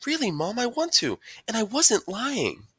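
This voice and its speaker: Man doing a shy schoolboy with raised pitch. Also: huge pitch swings, a little slow, fairly bright tone, husky, no echo, clean studio sound, heavily acted. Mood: earnest, insistent. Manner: hesitant, earnest, understated. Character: shy, sensitive young schoolboy talking with his mother. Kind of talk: audiobook character dialogue.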